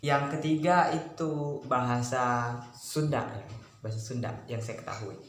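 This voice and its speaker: A man's voice: medium pitch, measured pace. A man language tutor from North Sumatra, explaining casually and listing his points one by one, dropping in English words. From Batak, North Sumatra, Indonesia. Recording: echoey room with faint noise.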